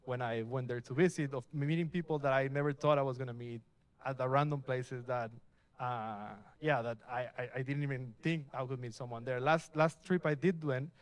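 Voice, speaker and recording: A man's voice: mid-range voice, fairly even intonation, moderate pace, clean tone, dry recording. A young adult man from Mexico gives a casual, upbeat talk at a live tech meetup, asking the audience questions as he goes. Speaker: meetup speaker. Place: event space with a live audience.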